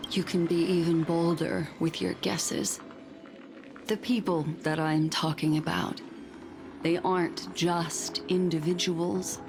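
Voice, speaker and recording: An actress playing a courteous, secretive memory keeper in a video game, speaking in a measured, formal way over music. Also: female voice, fairly low pitch, gravelly. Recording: background music under the voice.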